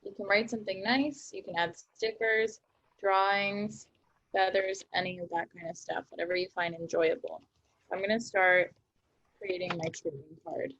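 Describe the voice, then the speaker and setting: A woman's voice: mid-range voice, steady tone, moderate pace, a bit bright, muffled recording. A young woman presenting a workshop, cheerfully explaining each craft step as she works. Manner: upbeat, explains steps while working. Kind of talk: instructional craft demonstration.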